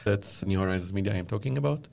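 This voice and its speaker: A man from Lebanon answering interview questions, speaking in a measured way and often pausing with "uh". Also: fairly low pitch, gravelly, a little mellow. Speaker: guest, media company founder.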